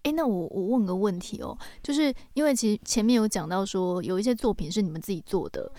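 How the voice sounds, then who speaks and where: A woman's voice: mid-range voice, fast, dark tone, no room sound. A woman with a Taiwanese Mandarin accent hosting a podcast and asking questions in a casual, conversational way. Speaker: podcast host.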